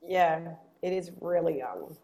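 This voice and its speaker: Storytelling mum co-hosting a podcast. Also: female voice, fairly low pitch, unhurried. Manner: animated storytelling with acted-out quotes. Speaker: podcast co-host. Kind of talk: casual chat. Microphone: headset earphones.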